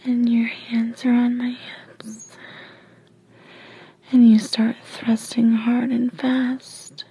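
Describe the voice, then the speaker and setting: A woman speaks in a very breathy, hushed whisper, slowly and sensually, as in intimate adult audio narration. Her voice is fairly high but soft and mellow, recorded close and dry. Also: little pitch movement, no room sound. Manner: hushed, slow, sensual delivery.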